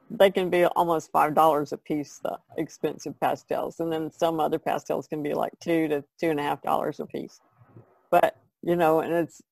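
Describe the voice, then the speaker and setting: A woman's voice: low-pitched, vocal fry, a little mellow, no echo, poor audio. A woman co-hosting a podcast over a video call, chatting in an easygoing, self-deprecating way. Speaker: podcast co-host, pastel artist. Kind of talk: casual chat. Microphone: video call microphone.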